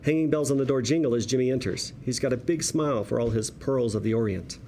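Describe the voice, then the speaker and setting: A man's voice: mid-range voice, fast talker, dark tone, a little hiss or hum. A man reading screenplay stage directions as narrator at a drama table read.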